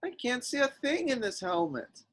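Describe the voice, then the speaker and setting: A man's voice: extremely high pitch, big pitch swings, normal speed, fairly bright tone, heavily acted. A man acting an eager, earnest young farm boy hero in a table read. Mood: tense. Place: virtual call from separate location.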